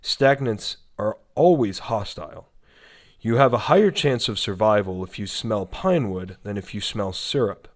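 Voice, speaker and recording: A man's voice: lowish voice, animated, a little slow. A man voicing an impersonal instructional warning tape in a horror story, formal and clinical.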